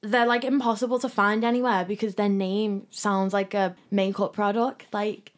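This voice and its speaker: A woman's voice: mid-range voice, little pitch movement, normal speed, no room sound. A woman co-hosting a podcast, presenting and asking questions in an informal, chatty way. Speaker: podcast co-host. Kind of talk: casual conversational presenting.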